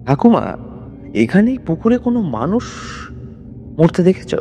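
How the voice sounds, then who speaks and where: A man's voice: high-pitched, big pitch swings, unhurried, highs cut off, voice pushed up. A man voicing a frightened, respectful male traveller stranded at night in a ghost story. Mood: anxious, curious. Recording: long reverb, noisy background.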